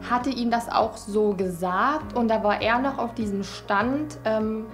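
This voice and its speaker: A woman's voice: on the high side, measured pace. A 29-year-old woman chatting casually in a documentary, light and self-mocking as she talks. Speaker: reality documentary participant. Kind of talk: casual chat. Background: background music.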